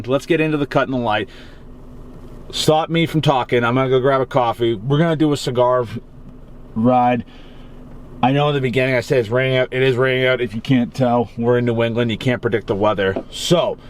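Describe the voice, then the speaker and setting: Young Massachusetts man, casual rambling vlogger, mid-range voice, swears easily, faint road hum behind. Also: mid-range voice. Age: young adult. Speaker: cigar reviewer. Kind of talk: casual vlog chat. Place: in his car on a rainy day.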